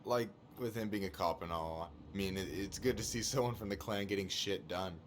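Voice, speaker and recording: Young man played by an actor, deep-voiced, open-hearted and casual, for naturalistic video game dialogue. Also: a little fast, slight background noise, natural voice. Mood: sincere, casual. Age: young adult. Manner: warm, casual, earnest.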